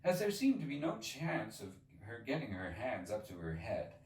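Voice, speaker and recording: Guy reading a classic kids story, really lively delivery, echoey room sound. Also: mid-range voice, moderate pace. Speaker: storybook reader. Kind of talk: expressive scripted narration. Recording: roomy, echoey, distant from the mic.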